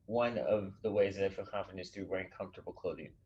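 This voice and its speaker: Relaxed man explaining a class project. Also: deep voice, quick pace, lo-fi sound, slight background noise. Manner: relaxed, explanatory, reads aloud with restarts. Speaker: instructor. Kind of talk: recorded lecture.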